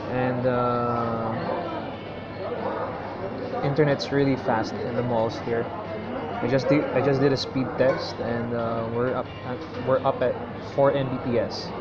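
A young man from the Middle East, vlogging in a chatty, rambling way and often correcting himself, filmed on a camera held at arm's length outdoors, in a metro station and in a cafe. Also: medium pitch. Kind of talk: casual vlog narration. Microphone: selfie camera at arm's length. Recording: dull lo-fi camera audio with loud street noise.

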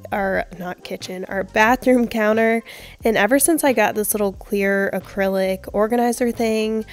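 A young woman narrating her house cleaning in a chatty, upbeat voice-over. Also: medium pitch. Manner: chatty, upbeat, with small laughs. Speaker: home cleaning vlogger.

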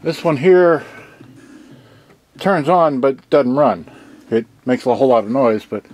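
A man giving an unscripted hands-on demonstration, speaking haltingly in short pieces with pauses, from behind a handheld camera indoors. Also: medium pitch, lively intonation, darkish tone, slight background noise. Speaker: hobbyist presenter showing his boombox collection. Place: indoor workshop with shelves and benches.

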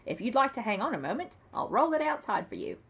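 A woman's voice: a little high, very animated, fast talker, vocal fry, a bit bright, husky, voice pushed up, heavily acted. A woman voicing a fussy, sarcastic and grudging male junk dealer in a storybook reading. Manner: sarcastic, reluctant, haughty.

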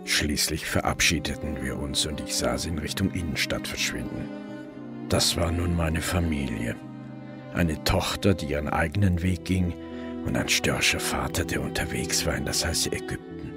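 An actor playing a weary, awkward middle-aged police detective who narrates and speaks in an audio drama. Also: male voice, extremely low pitch, moderate pace, loud music behind the voice. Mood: reflective.

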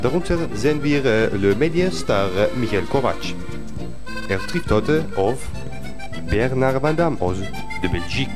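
A man's voice: medium pitch, animated, moderate pace, music in the background. A man doing comedic wrestling commentary, joking around and dropping in mock-French phrases.

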